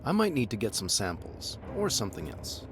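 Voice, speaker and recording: A man's voice: on the low side, huge pitch swings, a little fast, background noise. A man playing a fussy, eager police doctor in a period visual novel, explaining himself expressively. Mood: fussy, apologetic.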